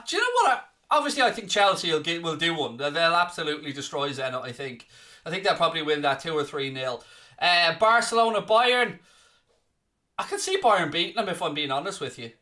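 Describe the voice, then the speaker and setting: A man's voice: high voice, pushed and bright. An Irish man from southeast Ireland hosting a live football watchalong stream, bantering cheekily with the chat. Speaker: stand-in watchalong host. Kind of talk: casual live-stream chat reading the comments.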